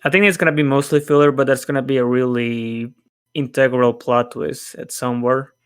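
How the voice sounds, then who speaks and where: A man chatting casually as a podcast panelist, in a halting, hesitant way. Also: mid-range voice, fairly even intonation, smooth. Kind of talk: casual chat.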